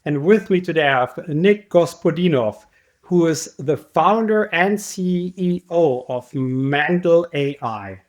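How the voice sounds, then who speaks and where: A man's voice: on the high side, expressive, slow. A man hosting a podcast, interviewing a guest in a conversational way. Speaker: podcast host.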